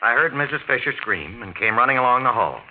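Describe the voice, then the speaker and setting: An actor playing a discreet household butler in a 1940s radio mystery, formal, polite and hesitant. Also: male voice, mid-range voice, expressive, quick pace, natural voice. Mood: deferential. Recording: tinny, noisy 1940s radio recording.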